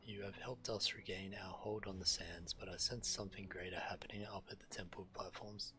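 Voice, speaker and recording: A man with a low voice and a bright, forceful edge reads fantasy quest text aloud. His delivery is measured, as a game streamer. There is faint noise behind him. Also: fairly even intonation.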